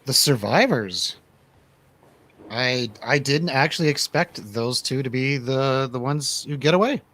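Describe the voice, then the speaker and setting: A man's voice: medium pitch, animated, a little slow, a bit bright. A man hosting a radio show, chatting casually about movies in a colloquial, jokey, agreeable way. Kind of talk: casual movie review chat.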